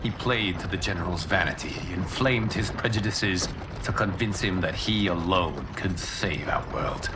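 An actor playing a calm, noble protector speaking in a measured way. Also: male voice, deep voice, heavy background noise, natural voice.

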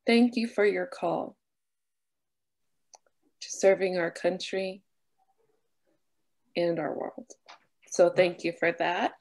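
A woman in her thirties, a nun and vocations director, born in Argentina and raised near New Orleans, talks unhurriedly over a webcam with many fillers and soft laughs. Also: mid-range voice. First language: Spanish. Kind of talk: casual talk, opening with a prayer. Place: small bedroom with a wooden armoire, indoors. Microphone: webcam on a video call.

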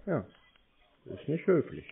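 A man in a phone conversation, answering in a terse, deadpan manner. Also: slow pace. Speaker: prank responder to scam calls. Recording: muffled tinny phone line.

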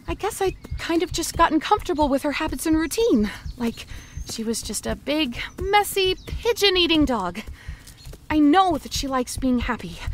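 A woman's voice: sits high, slight background noise. A woman playing the chatty, anxious inner voice of an undead young woman, confiding in the listener in a rambling audio drama monologue.

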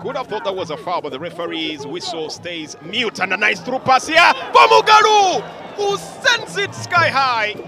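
High-voiced excitable football commentator man. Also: moderate pace. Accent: Ugandan English. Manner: flowery, theatrical phrasing, shouts at goals. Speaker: play-by-play commentator. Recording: broadcast mix with loud crowd and music.

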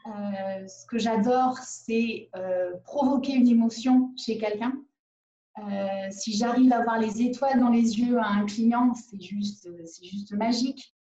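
A woman's voice: fairly high pitch, steady tone, takes it slowly, warm tone, highs cut off. Hesitant woman on a roomy video call. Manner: hesitant, many fillers and restarts. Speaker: independent perfumer. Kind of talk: conference video call interview. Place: living room at home. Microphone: laptop webcam on a video call.

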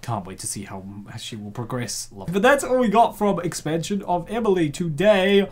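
A man's voice: high voice, normal speed. A man narrating a comic dub, breaking off often to joke and laugh at the story. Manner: joking, laughs often, animated. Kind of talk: narration with joking commentary.

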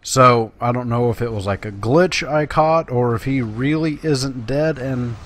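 A man's voice: mid-range voice, a little slow, fairly bright tone. A man giving casual let's play commentary in a laid-back, musing way. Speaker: gaming let's play host.

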